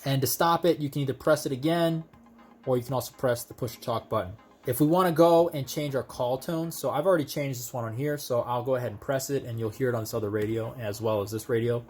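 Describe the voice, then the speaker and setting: A man's voice: mid-range voice, fast, a little mellow, faint music behind. A man giving a casual, conversational hands-on product demonstration, explaining things step by step as a video host.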